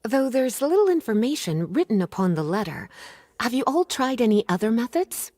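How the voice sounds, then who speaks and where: Scholarly game librarian woman, highish voice, coy, teasing, poised, richly varied intonation. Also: sing-song, darkish tone, slight background noise. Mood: thoughtful. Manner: coy, teasing, measured and articulate. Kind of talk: video game voice acting. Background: music.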